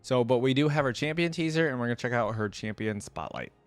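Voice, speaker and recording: A man's voice: medium pitch, lively intonation, quick pace, background noise. A man hosting a reaction video in casual commentary, enthusiastic and often exclaiming.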